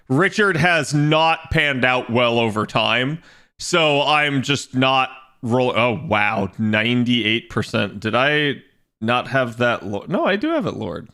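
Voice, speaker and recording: A young man chatting casually on his game stream, rambling and restarting as he thinks aloud, with a slightly raspy voice today. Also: medium pitch, expressive, slow pace, sharp tone. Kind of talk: casual livestream chat.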